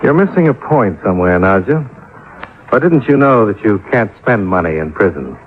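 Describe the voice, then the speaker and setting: An actor playing a tough, sardonic American insurance investigator in a 1950s radio detective drama, talking in a dry, clipped way. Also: male voice, on the low side, very animated, normal speed. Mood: cool, pressing. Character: wry, tough male American insurance investigator narrating his case. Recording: muffled, very noisy old radio recording.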